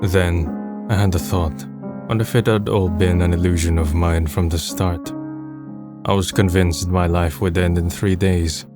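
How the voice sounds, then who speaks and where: A man playing a brooding young man tells his story in a low, deep voice. He is subdued and introspective, like the melancholy lead of a dubbed manga drama. Music plays clearly behind him. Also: steady tone, a little slow. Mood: somber, introspective. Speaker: narrator and lead character. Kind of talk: first-person dramatic narration in an amateur manga dub.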